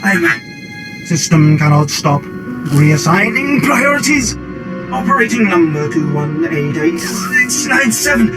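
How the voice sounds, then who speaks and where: A man voicing an anguished soldier trapped inside a droid in a video game, pleading and stammering between flat system announcements, with a robotic filter. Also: high-pitched, measured pace, exaggerated voice, poor audio. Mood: mechanical, halting. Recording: loud music under the voice.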